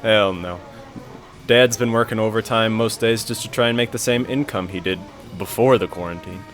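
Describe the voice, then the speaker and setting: Young man acting awkward, sarcastic homebody gamer, lowish voice, romcom audio play with music. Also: normal speed, natural voice. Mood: dry, casual. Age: young adult. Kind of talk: amateur romantic comedy audio drama.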